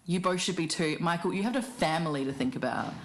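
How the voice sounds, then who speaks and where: A woman's voice: fairly low pitch, fast, a little hiss or hum, natural voice. A woman playing a blunt, fed-up and frightened podcaster in an audio drama, recorded in an echoing room. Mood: exasperated, scared. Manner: exasperated, blunt. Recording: roomy, echoing pickup.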